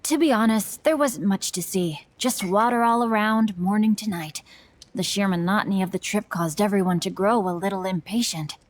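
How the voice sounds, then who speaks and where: A woman's voice: medium pitch, normal speed, natural voice. Woman voicing a refined, bookish teenage boy. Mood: recounting. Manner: formal, eloquent, refined. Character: bookish, polite, well-bred teenage boy novelist and martial artist. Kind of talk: video game voice acting.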